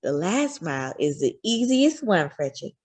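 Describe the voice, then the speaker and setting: A loving storybook mother, acted out by a woman reading aloud. She speaks gently to her young son in a slightly raspy voice that rises and falls freely, full of hope and comfort. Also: on the low side, normal speed, heavily acted. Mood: tender. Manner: gentle, expressive. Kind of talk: storybook character voice.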